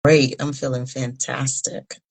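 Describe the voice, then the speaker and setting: A woman in her fifties from Liberia giving earnest, deliberate interview answers, pausing between phrases. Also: very deep voice, flat delivery. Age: 50s. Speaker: interview guest, humanitarian founder.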